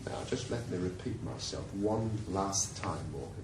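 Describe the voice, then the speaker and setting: A man's voice: deep voice, expressive, moderate pace, a little hiss or hum. A man playing a menacing male chief inspector, speaking in a cold, threatening way. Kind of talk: 1980s radio drama acting.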